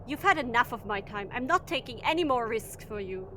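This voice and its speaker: A woman's voice: a little high, lively intonation, a little fast, background noise, natural voice. A woman playing a blunt, defiant shuttle captain in an audio drama, curt and dismissive in manner. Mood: defiant, fed up.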